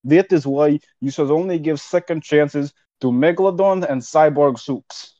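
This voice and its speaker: A man co-hosting a comedy podcast, delivering rambling mock-solemn speeches in a deadpan way. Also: on the high side, moderate pace, no room sound, muffled recording. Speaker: podcast co-host. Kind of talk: improvised comedy banter.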